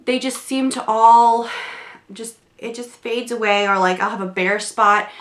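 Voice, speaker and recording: A woman's voice: fairly high pitch, level tone, a little slow, fairly bright tone, roomy sound. Chatty young woman with a cold. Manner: chatty, rambling, many fillers and restarts. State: congested from a cold. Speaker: beauty vlogger. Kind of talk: casual product review. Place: small home room, indoors.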